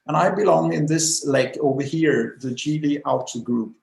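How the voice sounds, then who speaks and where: Casting: middle-aged man from Denmark, slightly higher voice with gravel, hesitant presenter on a video call. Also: moderate pace, a little mellow, echoey room. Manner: hesitant, many fillers. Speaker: guest presenter, automotive designer.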